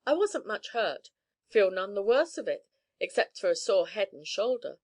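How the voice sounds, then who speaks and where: A woman's voice: medium pitch, very animated, moderate pace, darkish tone. Storybook hero: a hearty, plain-spoken young man home from the north, read by a woman. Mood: sober. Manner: sober, cheerful storytelling.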